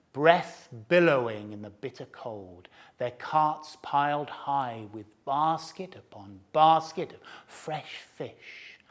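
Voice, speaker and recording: Sly boastful fox, man reading, storybook teller; slow, lively melody. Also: mid-range voice. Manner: relishing, theatrical, savouring food words. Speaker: storyteller to cubs. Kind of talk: storybook narration.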